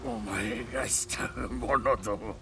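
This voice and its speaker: Gravelly, wounded warrior villain. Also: male voice, medium pitch, fairly even intonation, slow, music in the background. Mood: taunting. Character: wounded, taunting bandit or warrior foe. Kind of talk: dramatic game acting.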